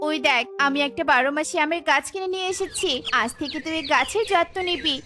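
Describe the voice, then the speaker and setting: A woman's voice: sits high, fast talker, pitched above the performer's own voice, natural voice. A woman voicing a caring, protective mother bird in a cartoon fable, motherly and firm. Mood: reassuring, firm. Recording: music bed behind.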